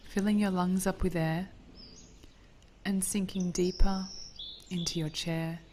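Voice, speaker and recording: A woman leading a guided body scan meditation in a gentle, soothing, breathy voice that drifts toward a whisper. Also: fairly low pitch, steady tone, a little slow, slight background noise.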